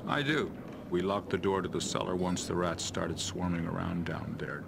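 A man's voice: low voice, moderate pace, gravelly, heavy background noise. A man playing a wary but friendly middle-aged tavern regular in a fantasy video game, familiar and cautioning. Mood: curious.

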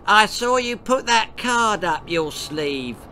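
A nasty, smug young girl from a children's storybook, as an older man acts her out. The voice is pushed high and swings around with loud, goading relish. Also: lively intonation, takes it slowly, a little hiss or hum, heavily acted. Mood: taunting. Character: mean, taunting young girl, spiteful spoilsport.

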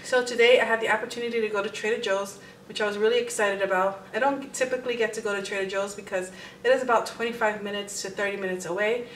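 A woman's voice: mid-range voice, fairly even intonation, fast, a little hiss or hum, rough recording. A woman in her thirties talking casually and straightforwardly as a vlogger, recorded indoors at home. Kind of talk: casual grocery haul vlog.

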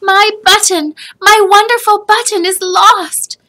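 Woman putting on an eager, high-pitched little boy. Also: fairly even intonation, a little slow, sharp tone, heavily acted. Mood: distraught. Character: eager, trusting young storybook boy.

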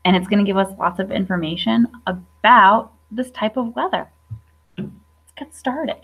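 A woman's voice: mid-range voice, normal speed, vocal fry, fairly bright tone, husky, poor audio. A woman around her thirties, a teacher reading aloud to young children, enunciating and adding chatty questions to her listeners. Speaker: primary school teacher. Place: indoor classroom.

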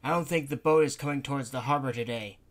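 A man's voice: mid-range voice, fast, lightly acted. A man voicing Gonta, an anxious man who grumbles and complains. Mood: worried. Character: anxious grumbling male worrier.

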